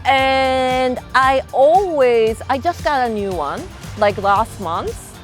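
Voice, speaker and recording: A woman's voice: high voice, slow pace. A middle-aged woman with a Japanese accent, a freelance illustrator speaking thoughtfully in an interview, often saying "you know" and "like". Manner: relaxed, thoughtful, frequent fillers like "you know" and "like". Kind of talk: interview-style talk to camera. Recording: soft music behind.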